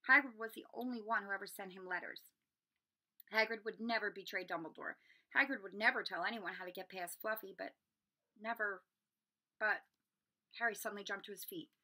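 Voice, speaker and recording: A woman reading a children's story aloud in an expressive, dramatic storytelling manner. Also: medium pitch, poor audio. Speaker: read-aloud narrator.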